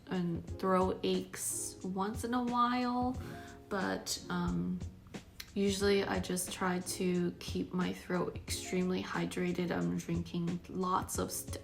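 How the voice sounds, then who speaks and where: A woman vlogger giving a casual recovery update in a steady, unhurried way, now and then clearing her throat. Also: fairly low pitch.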